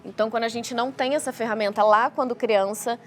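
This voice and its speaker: A woman's voice: on the high side, steady tone, fast talker, a little hiss or hum. A woman with a Rio de Janeiro accent, speaking as a guest expert and explaining things on a talk show. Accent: Carioca (Rio de Janeiro) Brazilian Portuguese. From Rio de Janeiro, Brazil. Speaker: guest child feeding specialist.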